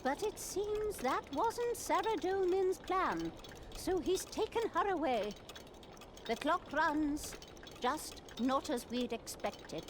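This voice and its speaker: Game nun clockmaker: high-voiced, animated, muddled and kindly, slightly deaf, some background ambience. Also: female voice, takes it slowly, noisy background, exaggerated voice. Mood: matter-of-fact. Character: elderly-seeming, slightly deaf nun clockmaker, gentle and absorbed in repairs. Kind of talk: video game character dialogue.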